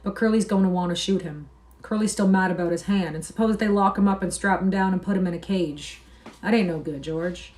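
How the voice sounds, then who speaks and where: A woman reading aloud and voicing a quiet, steady male ranch hand who speaks in a measured way. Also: lowish voice, recorded from a distance, natural voice. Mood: grave. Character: calm, quiet male mule skinner, steady and grave.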